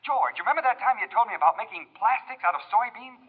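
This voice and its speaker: A man's voice: high-pitched, animated, breathy edge. An actor playing a brash young businessman calling on the phone in a 1940s radio drama, on an echoey lo-fi recording. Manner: brash, fast-talking.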